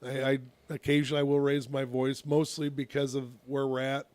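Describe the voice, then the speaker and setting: A man, a youth softball coach, telling a long story on a casual radio talk show, with a naturally loud voice and many restarts. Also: medium pitch, little pitch movement, a little fast, dry recording.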